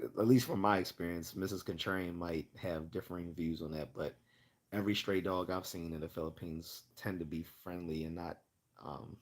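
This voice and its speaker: Guy with a really low voice doing a chatty live q&a, kinda hoarse, clearing his throat a lot. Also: darkish tone. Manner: rambling, chatty, laughs often. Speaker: livestream host. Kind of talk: casual livestream Q&A.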